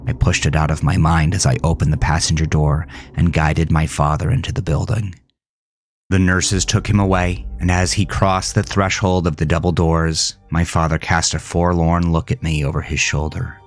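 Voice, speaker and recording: A man narrating a first-person horror audio drama in a somber, dramatic manner with deliberate phrasing. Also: a bass voice. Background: music.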